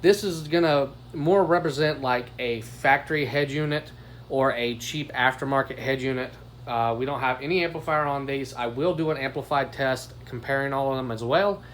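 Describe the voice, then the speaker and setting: A man's voice: mid-range voice, moderate pace, fairly bright tone. American man, noisy phone recording. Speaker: product reviewer. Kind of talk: casual product demo talk. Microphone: phone above the bench.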